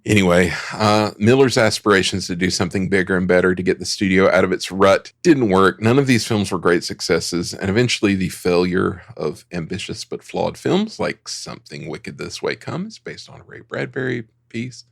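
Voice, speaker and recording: Gravelly, low-voiced man hosting a podcast. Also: expressive, moderate pace, few pauses, no echo, clean studio sound. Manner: conversational, joking asides. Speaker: podcast host. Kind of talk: semi-scripted film history narration with casual asides.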